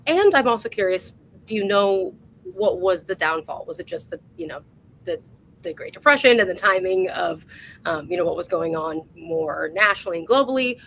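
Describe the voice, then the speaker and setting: Low-voiced woman interviewer, noisy recording. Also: lively intonation, brisk, a bit bright. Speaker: host. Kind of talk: casual interview.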